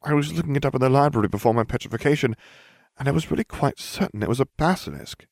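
Male narrator as a precise, concerned girl of twelve for a fantasy audiobook; higher voice, animated, very dry sound. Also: fast talker, gravelly, no echo, close to an everyday voice. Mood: earnest. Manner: earnest, softly insistent. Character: earnest, bookish twelve-year-old schoolgirl, concerned and precise.

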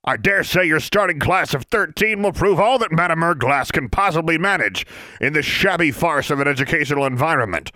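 Man playing a pompous snob, raised pitch, sneering, lofty, dry. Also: lively intonation, brisk, bright, forceful tone, no echo. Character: pompous sneering older man, snobbish official.

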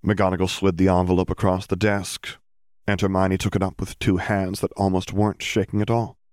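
Low-pitched man reading a story. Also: flat delivery, brisk, a little mellow, no echo, studio quality. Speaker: audiobook narrator. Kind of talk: scripted story narration.

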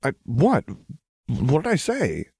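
A man's voice: medium pitch, wildly swooping pitch, slow pace, vocal fry, a little mellow, husky, no echo, pitched above the performer's own voice, heavily acted. A man voicing a small, indignant but affectionate young woman, huffy and defensive, in a story reading. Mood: startled.

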